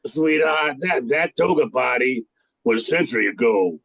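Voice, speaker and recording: A man's voice: mid-range voice, lively intonation, normal speed, a bit bright. A man playing an exasperated, philandering husband god in an amateur radio comedy. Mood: dismissive.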